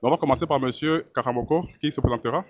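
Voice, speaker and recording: A man's voice: medium pitch, racing, dry recording. A man hosting his channel and interviewing guests at a trade fair, with short encouraging prompts. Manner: brief, encouraging acknowledgements. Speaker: YouTube host, interviewer. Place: busy trade fair hall.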